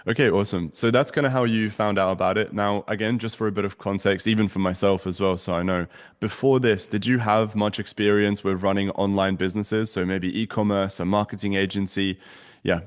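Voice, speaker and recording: A man's voice: fairly low pitch, fairly even intonation, brisk, long reverb tail. A man hosting a casual interview, upbeat and promotional, asking long winding questions. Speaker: host, agency mentor.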